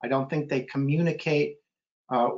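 A middle-aged American man speaks in a somewhat high voice, chatting casually as he answers questions. He is an author appearing as a guest on a video call, so the sound is a little dull and lo-fi. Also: fairly even intonation, moderate pace. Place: indoor room on a video call. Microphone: computer video call.

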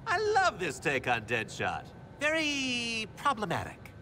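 Game villain role: mocking young psychotic clown, high sharp voice that swings wildly. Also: male voice, wildly swooping pitch, a little slow, a little hiss or hum, full character voice. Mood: wry mockery. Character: flamboyant, gleefully sinister younger male clown villain.

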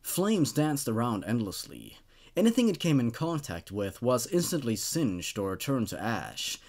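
A man in his twenties reading a horror story aloud, dramatically and with deliberate pauses for suspense. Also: medium pitch, sing-song, a little mellow. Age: 20s. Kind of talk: dramatic horror story narration with brief casual comments.

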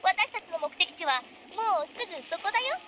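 A woman's voice: very high voice, lively intonation, brisk, background noise. A woman playing a playful, confident teenage girl funeral director in an anime-style game. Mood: upbeat, confident.

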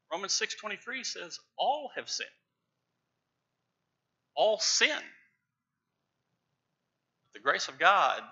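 Emphatic middle-aged preacher guy, sharp bright voice, kinda muffled lo-fi church stream. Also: high voice, breathy edge. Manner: emphatic, stresses words with rising loudness. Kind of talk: sermon. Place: large church sanctuary with pews. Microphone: lapel mic, far camera. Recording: dull church livestream audio.

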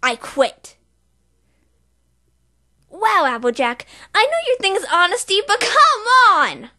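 A woman's voice: very high-pitched, huge pitch swings, takes it slowly, sharp tone, exaggerated voice. A woman voicing a brash, boastful tomboy pegasus pony girl in a fan voice reel. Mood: cocky.

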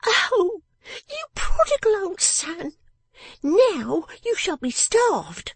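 A woman voicing a sharp-tongued, bossy, vengeful young woman in a storybook, with emphatic, snapping speech. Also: very high voice, very animated, very slow, dry recording, voice pushed up, heavily acted. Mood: snapping, scolding. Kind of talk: dramatised storybook reading.

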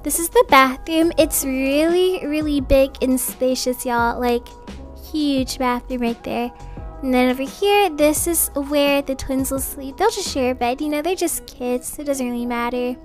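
A woman's voice: high voice, measured pace, soft background music. A woman playing an upbeat, chatty young mother in an improvised family roleplay, casual, reassuring and gushing. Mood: admiring.

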